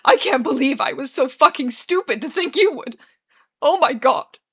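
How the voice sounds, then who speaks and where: A woman's voice: fairly high pitch, huge pitch swings, fairly quick, gravelly, sharp tone, breathy edge, exaggerated voice. A woman voicing an anguished, furious young man in a dramatic story, with explosive, wailing outbursts and cursing.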